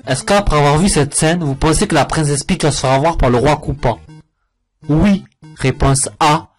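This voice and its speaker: A man's voice: a little high, moderate pace, soft background music. A man giving jokey, sarcastic commentary on cartoon clips. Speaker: cartoon reviewer. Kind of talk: mocking commentary over clips.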